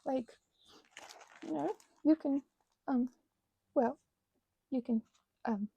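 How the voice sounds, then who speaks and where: Soft husky, creaky woman rambling. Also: sits high, highs cut off. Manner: rambling, halting, self-correcting, earnest. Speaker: host of a craft ramble channel. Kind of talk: unscripted ASMR craft ramble.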